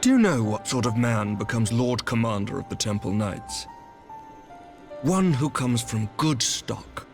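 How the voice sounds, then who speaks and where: A courtly knight commander for a fantasy video game. His voice sits at a middling pitch. He is measured, formal and shrewd, with music playing behind him. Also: big pitch swings. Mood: firm, proud. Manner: formal, measured, archaic diction. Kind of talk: scripted fantasy game dialogue.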